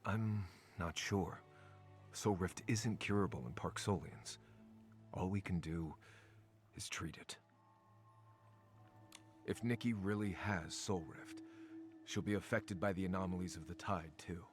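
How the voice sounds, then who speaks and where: A man voicing a grave, knowledgeable guide in a video game, explaining lore in a solemn, measured way. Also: low-pitched, faint music behind. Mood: grave, concerned.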